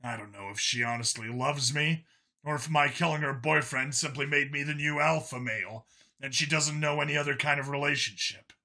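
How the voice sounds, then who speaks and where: A man voicing a jaded, emotionally guarded male cop who talks bluntly and haltingly, questioning himself, in an audiobook story. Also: fairly high pitch, steady tone, bright, forceful tone. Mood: troubled, unsure.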